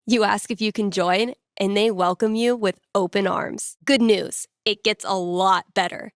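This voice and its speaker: A woman's voice: mid-range voice, fairly even intonation, unhurried, no echo, studio quality, close to an everyday voice. A woman playing a candid, self-deprecating young punk nerd in a video game, speaking in a natural, sincere, wry conversational way. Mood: gentle, encouraging. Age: young adult.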